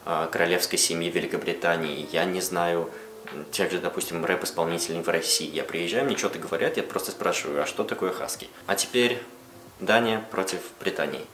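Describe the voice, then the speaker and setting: A young man casually answering viewer questions in a relaxed, chatty way. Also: sits low, fairly quick, a little hiss or hum. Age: young adult. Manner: relaxed, chatty, switches between Russian and English. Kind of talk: casual Q&A vlog talk. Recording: roomy echo with music behind.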